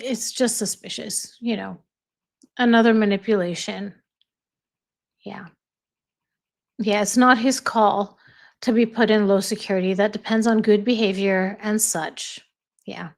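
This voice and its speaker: A woman's voice: mid-range voice, vocal fry. An American woman hosting a casual livestream, reading viewer comments aloud and laughing often as she chats. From United States. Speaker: livestream host.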